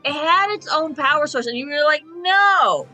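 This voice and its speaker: A woman's voice: high voice, lively intonation, moderate pace, sharp tone, soft background music. A woman chatting casually as a panelist in a radio fan discussion.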